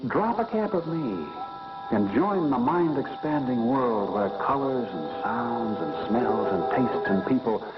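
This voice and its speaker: Man voicing a sly sinister drug pill narrator, 60s school film, swoopy delivery, muffled old soundtrack w loud music. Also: mid-range voice, expressive, close to an everyday voice. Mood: sly, inviting. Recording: dull vintage film soundtrack.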